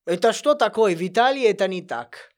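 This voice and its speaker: A man from Italy with an Italian accent, a guest chatting in non-native Russian with grammar slips and lively storytelling. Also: high-pitched, brisk. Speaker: guest, language teacher. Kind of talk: casual podcast conversation.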